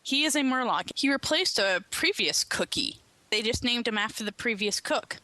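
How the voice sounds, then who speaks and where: A woman's voice: a little high, lively intonation, moderate pace, bright, forceful tone, a little hiss or hum. A woman chatting casually on a panel and explaining things conversationally. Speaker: podcast panelist.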